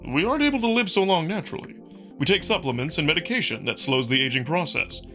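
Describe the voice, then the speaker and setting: A man's voice: a little high, expressive, fast talker, music in the background, pitched above the performer's own voice, close to an everyday voice. A man voicing a big young alien wolf, earnest and playful, in a visual novel reading. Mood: earnest, concerned.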